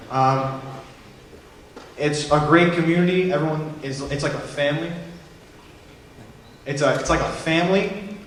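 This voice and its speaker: A man's voice: mid-range voice, fairly bright tone, slight background noise. A teenage boy, a student drum major, presenting nervously and self-consciously to younger students. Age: teens. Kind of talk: short school presentation. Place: school auditorium. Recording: echoey hall from far off.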